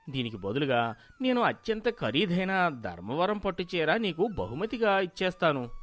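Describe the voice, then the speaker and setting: Woman putting on a swooping, lowered weary husband. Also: fairly bright tone. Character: weary, placating rich husband, middle-class village master.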